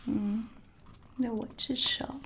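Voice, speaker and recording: A woman playing a proud, mysterious dark witch with cool menace, whispering slowly and theatrically in an ASMR roleplay. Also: on the high side, steady tone, a little hiss or hum, full character voice. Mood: curious, appraising. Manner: slow, breathy, theatrical, deliberate whispering. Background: dark ambient music.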